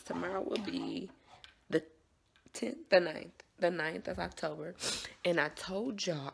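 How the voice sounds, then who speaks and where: Scratchy low American woman, gravelly. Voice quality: scratchy, raspy morning voice. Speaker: vlogger. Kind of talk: casual chatty vlog.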